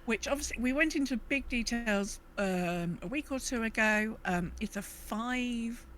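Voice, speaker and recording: A woman's voice: on the high side, unhurried, a bit bright, a little hiss or hum. A woman co-hosting a casual gaming podcast, often hesitating and correcting herself as she talks. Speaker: podcast co-host.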